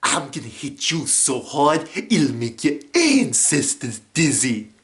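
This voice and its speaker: A woman voicing a short, gruff, hot-tempered male cartoon soldier with a belligerent, boastful manner. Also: low-pitched, big pitch swings, slow, full character voice. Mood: threatening.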